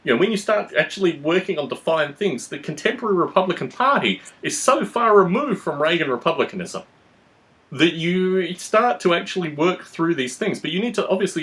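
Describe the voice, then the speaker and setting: A man's voice: medium pitch, a bit bright. A man hosting a conversational discussion, explaining his views in a long-winded, analytical way. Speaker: podcast host.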